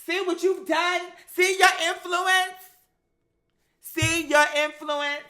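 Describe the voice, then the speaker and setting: A woman's voice: very high voice, fairly even intonation, takes it slowly, bright, forceful tone. A woman chatting casually and telling gossipy stories in a sassy, profane, emphatic and dramatic manner. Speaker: online talk show host. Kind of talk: casual gossip commentary, storytelling.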